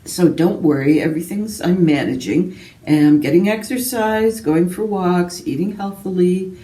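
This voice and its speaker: A woman talks casually to her viewers in a chatty, self-deprecating way. Her voice is very mellow and relaxed. She is recorded a little way from the microphone, in a room with noticeable echo. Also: fairly low pitch, moderate pace. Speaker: book vlogger. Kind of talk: casual rambling chat to viewers. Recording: roomy echo, distant microphone.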